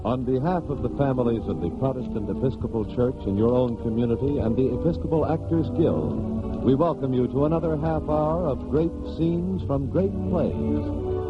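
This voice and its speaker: A male announcer opens a vintage radio show. He declaims grandly with a widely swinging pitch over loud music, on a dull old recording.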